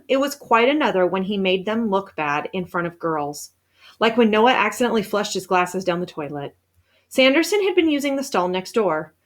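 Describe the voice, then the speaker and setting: Female read-aloud narrator for a children's novel; mid-pitched, expressive storyteller delivering scripted first-person prose. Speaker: storybook reader.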